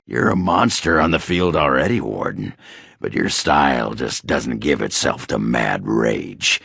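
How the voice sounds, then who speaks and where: Gruff, crude dwarf berserker for a fantasy game: extremely low, raspy, blunt and hard-drinking. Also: male voice, bright, forceful tone, dry recording, studio quality, heavily acted. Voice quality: gravelly, raspy. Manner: crude, blunt, swearing, grunting. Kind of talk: video game character acting.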